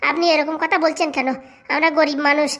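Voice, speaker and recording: Woman as cartoon young man, very high bright voice, cheeky, stubborn, glib, argumentative. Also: flat delivery, quick pace. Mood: stubborn, indignant. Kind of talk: comic cartoon dialogue.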